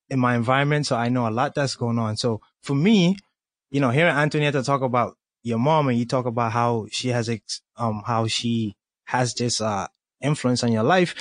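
Halting man hosting a podcast. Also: medium pitch, no room sound. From Colombia. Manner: halting, restarts with many "you know" fillers. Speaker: podcast host. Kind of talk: casual interview questions.

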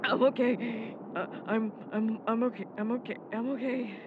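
An actress in an audio drama playing an injured young anime fan, brave and affectionate, who stammers weakly through pain. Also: female voice, a little high, steady tone, lightly acted. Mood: pained, reassuring. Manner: halting, stammering, weak and pained speech. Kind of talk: naturalistic audio drama acting. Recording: muffled, loud vehicle noise. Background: vehicle driving noise.

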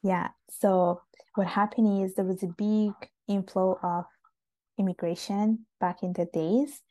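A woman's voice: medium pitch, steady tone, measured pace, mellow, dry recording. A young adult woman from Italy, chatting as a podcast guest with many fillers. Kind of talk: casual interview conversation. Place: home in Montreal.

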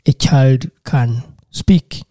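A man's voice: medium pitch, extremely slow, mellow, no room sound, studio quality. A man from Uganda, working in childcare, talking casually and earnestly in an interview, often repeating and restarting words.

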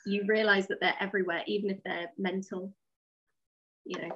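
A woman's voice: medium pitch, flat delivery, a little fast, fairly bright tone, highs cut off, a little hiss or hum. A woman speaking as a writer being interviewed, in a casual, conversational way. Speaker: interview guest, science fiction writer.

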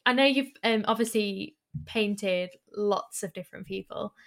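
A woman hosting an interview, musing aloud and filling pauses with um and sort of. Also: medium pitch, vocal fry, a bit bright. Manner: thoughtful, fills pauses with um and sort of. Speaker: interviewer, host. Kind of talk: conversational interview.

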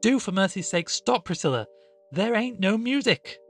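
A man voicing a sharp, anxious country woman who speaks in an emphatic rustic way in a storybook reading. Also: mid-range voice, fairly quick, faint music behind, close to an everyday voice. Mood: cross, scolding. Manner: emphatic, rustic colloquial speech.

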